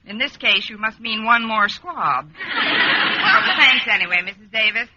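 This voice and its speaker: A woman playing a wry, sharp-witted schoolteacher in an old radio sitcom, with dry sardonic timing. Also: on the high side, sing-song, measured pace. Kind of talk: 1940s-50s radio sitcom acting. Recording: muffled, hissy vintage radio recording.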